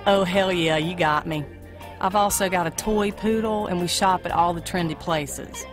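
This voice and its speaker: A woman's voice: fairly low pitch, steady tone, moderate pace, lightly acted. A woman playing a brassy, folksy small-town diner waitress in an amateur audio play, with blunt, informal talk. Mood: chatty, folksy. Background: music.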